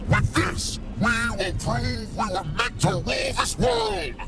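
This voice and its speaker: Menacing fantasy game warlord: slow, gravelly, pompous and swooping, under loud music. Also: male voice, very high voice, wildly swooping pitch, full character voice. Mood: fierce, commanding. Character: menacing, grandiose male warlord calling himself Dragon King. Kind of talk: dramatic fantasy video game acting.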